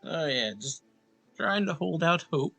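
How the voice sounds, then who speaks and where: A man's voice: a little high, lively intonation, unhurried, gravelly, pushed and bright, faint music behind. A man giving offhand, rambling let's play commentary as a gaming streamer.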